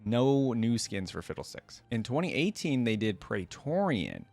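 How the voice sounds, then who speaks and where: A man's voice: medium pitch, sing-song, measured pace, vocal fry, darkish tone, faint music behind. A man hosting a reaction video, giving casual, informal off-the-cuff commentary and thinking aloud as he goes. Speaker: video host reacting to game content.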